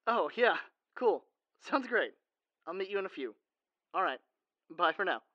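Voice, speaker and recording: A man's voice: high voice, very animated, moderate pace, dull audio. A man playing a caring, modest, socially awkward superhero in a conversational audio roleplay, speaking casually and hesitantly. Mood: friendly.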